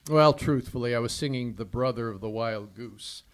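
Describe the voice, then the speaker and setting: An actor playing a flirtatious, wisecracking singing private detective in a 1940s style radio drama, theatrical and playful on stage. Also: male voice, mid-range voice, normal speed, natural voice. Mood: playful, evasive. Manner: playful banter, theatrical, bickering. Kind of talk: 1940s radio drama acting with crooned song. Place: live stage performance in a theater hall.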